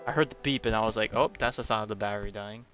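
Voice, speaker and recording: A man's voice: on the low side, very fast, bright, forceful tone, lo-fi sound, soft background music. A man streaming a game, chatting casually and sounding flustered. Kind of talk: casual live commentary.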